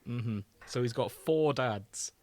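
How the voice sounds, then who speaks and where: A man's voice: medium pitch, slow pace, crowd noise. A man co-hosting a casual comedic podcast, with a wry, bantering and sometimes sweary manner. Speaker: podcast co-host.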